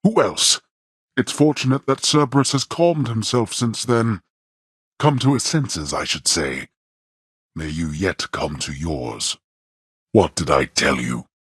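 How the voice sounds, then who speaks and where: Imperious god of the dead, game role. Husky, disdainful, formal archaic delivery, highly animated, very dry close sound. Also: male voice, unhurried, no room sound, full character voice. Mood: scornful blame, then mocking contempt. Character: stern, imperious god of the underworld, contemptuous father. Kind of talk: theatrical video game character acting.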